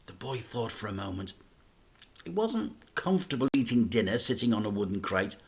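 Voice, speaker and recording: A man, a teacher, reading a children's storybook aloud with slow, expressive narration. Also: fairly low pitch.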